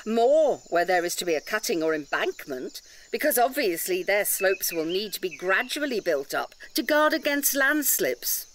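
An actress playing an indignant, articulate defender of a nature sanctuary in an audio drama, challenging and emphatic. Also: female voice, medium pitch, very animated, moderate pace, few pauses, fairly bright tone, a little hiss or hum.

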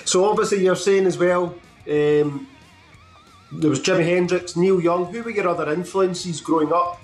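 A man with a high voice hosts a music interview from home. He takes his time building up each question. His voice comes through a roomy, echoey video call. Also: normal speed. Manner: rambling, builds up questions at length. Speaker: interview host.

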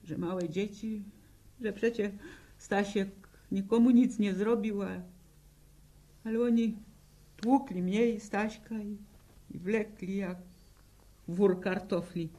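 Stubborn, haunted peasant war widow, female actor, mid-pitched, drawn-out rural delivery for 1960s radio drama. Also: fairly even intonation, warm tone, natural voice. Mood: anguished recollection. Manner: halting, sombre storytelling in plain rural speech.

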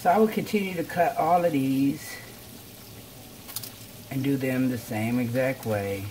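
A woman explains each step informally, as in a casual cooking vlog. She is recorded at home in a kitchen, with clear background noise of frying and clattering dishes. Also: rumbling low, a little slow, dark tone, rough recording. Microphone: camera on counter or at arm's length.

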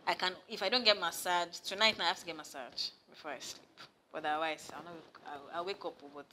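Low-pitched, bright-voiced Nigerian woman. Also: brisk, slight background noise. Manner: animated storyteller, rises loud and high when excited. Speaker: massage therapist, guest. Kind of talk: casual interview chat. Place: studio set with sofa, indoors.

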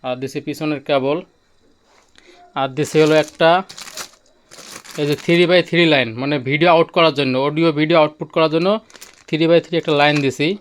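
A man's voice: a little high, steady tone, fairly quick, pauses often, slight background noise. A man with a Bangladeshi accent giving a casual product demonstration as a shop seller, explaining hands-on and repeating himself. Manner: repetitive, hands-on showing and explaining. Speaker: electronics shop owner and seller. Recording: music playing from demonstrated player. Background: music from a player.

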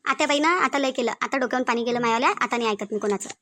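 A woman's voice: fairly high pitch, very fast, pushed and bright. A woman with a Varhadi Marathi accent playing a stubborn, aggrieved young daughter-in-law in a cartoon family drama, complaining insistently and repeating herself. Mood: indignant.

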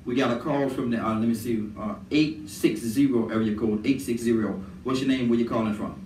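A man's voice: medium pitch, fairly even intonation, brisk, darkish tone. A middle-aged man hosting casual live radio, often repeating himself. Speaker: live radio show host. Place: small podcast studio with foam panels. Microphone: boom microphone. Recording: echoey, distant, noisy room.

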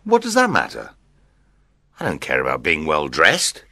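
A woman voicing a brash, carefree bridegroom in a storybook, loud, careless and demanding. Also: sits low, wildly swooping pitch, unhurried, no room sound, voice pushed down, heavily acted.

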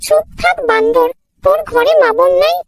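Squeaky cartoon girl, extremely high, snappy, bossy, loud music behind. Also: moderate pace. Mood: angry. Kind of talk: children's cartoon dub.

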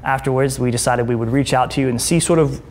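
Guy in his 30s, medium pitch, interviewer, just chatting casually with a guest. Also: fast talker, slight background noise. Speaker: editor, interviewer. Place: indoor brick-walled studio room.

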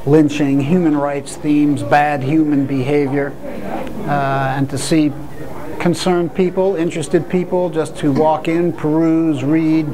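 Male art teacher, slightly high voice, eager, gallery with crowd noise. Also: low-quality recording. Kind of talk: interview. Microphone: lapel mic. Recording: crowd chatter behind.

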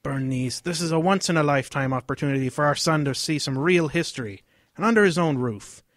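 A man's voice: fairly high pitch, fairly quick, fairly bright tone, no room sound, clean studio sound, natural voice. A man playing an earnest English father, proud of history, lecturing in a radio drama. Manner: lecturing, storytelling.

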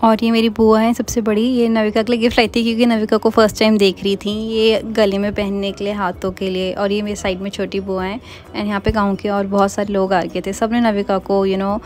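A woman's voice: mid-range voice, flat delivery, brisk, darkish tone. A young woman from Uttarakhand, India, chatting casually as she narrates her family vlog. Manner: chatty, mixes Hindi and English. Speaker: vlogger.

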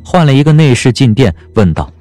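A man's voice: medium pitch, sing-song, brisk, mellow. A man narrating a Chinese period novel as a dramatic scripted audiobook. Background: background music.

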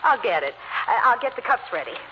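A woman's voice: fairly high pitch, big pitch swings, brisk. An actress playing a poised, plucky society woman, a sleuth's companion, in 1940s radio drama style. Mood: gracious. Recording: noisy, muffled old radio recording.

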